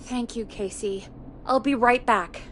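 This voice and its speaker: A woman's voice: fairly high pitch, unhurried, fairly bright tone, slight background noise. A woman playing a friendly, supportive radio show producer in dramatic game voice acting. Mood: sympathetic.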